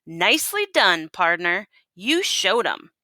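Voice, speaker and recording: A woman's voice: fairly low pitch, sing-song, slow pace, sharp tone, no room sound, studio quality, exaggerated voice. Woman putting on a hearty Western mayor. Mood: approving. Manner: folksy, hearty Western talk. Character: hearty, booming male Western town mayor.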